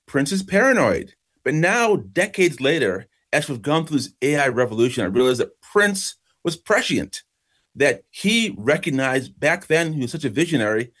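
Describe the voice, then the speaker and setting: Measured, reflective male author. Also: mid-range voice. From Jamaica, raised in New York state. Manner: reflective, measured, slows for emphasis. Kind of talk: interview answers, storytelling.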